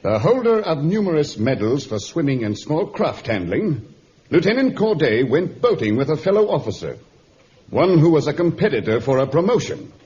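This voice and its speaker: An actor playing a grave, courteous sea captain, formal and deliberate, in a vintage radio adventure serial. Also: male voice, on the high side, sing-song, darkish tone. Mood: grave, accusing. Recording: muffled, faintly noisy old radio recording.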